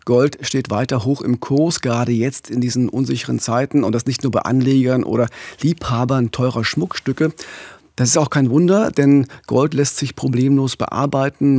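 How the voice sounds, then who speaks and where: A man's voice: medium pitch, creaky, a little mellow, no echo. Middle aged guy hosting crime podcast, bit of husk, dry close mic. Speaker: true-crime podcast co-host. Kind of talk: podcast presenting, explanatory. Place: small radio studio. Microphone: close studio microphone.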